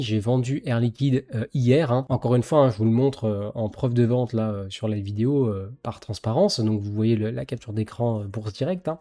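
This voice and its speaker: A man's voice: medium pitch, warm tone. A man with a head cold, congested, casually talking viewers through his stock portfolio in a chatty way full of filler words. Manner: chatty, peppers talk with filler words and "les amis". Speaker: finance YouTuber reviewing his stock portfolio. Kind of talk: casual weekly video talk to viewers.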